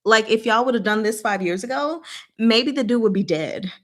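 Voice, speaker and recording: A woman's voice: a little high, expressive, normal speed. A woman casually recapping a novel in a book discussion, with a chatty, playful, giggly manner and paraphrased dialogue acted out in slang.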